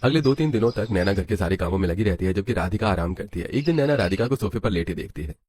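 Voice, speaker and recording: A man's voice: on the low side, lively intonation, quick pace, darkish tone. A man narrating a scripted story. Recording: music under the voice. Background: background music.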